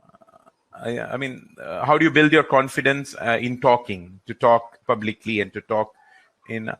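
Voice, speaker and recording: Man answering questions, halting. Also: mid-range voice. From India. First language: Hindi or Gujarati. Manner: relaxed, halting, with frequent fillers. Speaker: trainer. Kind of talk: answering audience questions in a live session.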